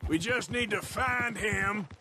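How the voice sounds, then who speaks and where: An actor playing a rugged, wry Wild West outlaw in a video game, with an American accent and a folksy drawl. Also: male voice, sits high, slow, creaky, noisy background, full character voice. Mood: wry, relaxed. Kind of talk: dramatic video game acting.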